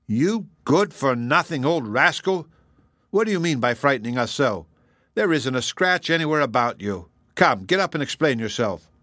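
A level-headed young sailor played by a male storybook reader, plain-spoken and good-humored with a teasing edge. His voice is medium in pitch, recorded dry and close. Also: expressive, moderate pace, a bit bright, no room sound, lightly acted. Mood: amused scolding.

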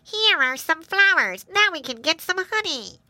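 Woman doing high, eager little honeybees. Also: big pitch swings, measured pace, pushed and bright, dry recording, exaggerated voice. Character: busy eager honeybees, small and bossy.

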